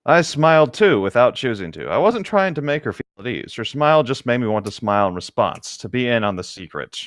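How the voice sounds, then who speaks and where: A man's voice: medium pitch, very animated, a little fast, dry recording, clean studio sound. A man reading a novel aloud as co-host, mixing the text with casual, wry, laid-back asides.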